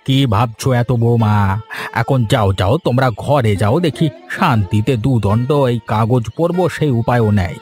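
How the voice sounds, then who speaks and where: A man's voice: mid-range voice, moderate pace, a little mellow, close to an everyday voice. Man voicing a stingy, grumbling old grandfather. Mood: irritated. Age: older. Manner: grumbling, bossy. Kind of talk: Bengali moral-story cartoon dubbing. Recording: faint music behind the voice.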